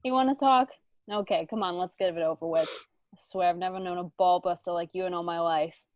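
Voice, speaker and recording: A woman's voice: lowish voice, fairly even intonation, fairly quick, no echo, lightly acted. A woman acting a crude, irritable, cocky male gangster in an amateur radio play table read. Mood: exasperated.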